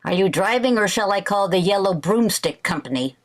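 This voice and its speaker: An actress playing a sarcastic housewife who makes dry wisecracks, in vintage radio sitcom style. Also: female voice, medium pitch, expressive, brisk, close to an everyday voice. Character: sarcastic young housewife bristling at her mother-in-law.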